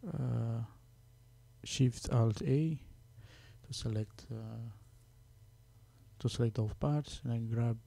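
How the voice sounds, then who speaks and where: A man with a Romanian accent, Romanian his first language, talking through a software tutorial step by step, halting with many fillers as he works. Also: mid-range voice, steady tone, gravelly. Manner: halting, many fillers, thinking aloud while working. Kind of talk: screen-recorded software tutorial.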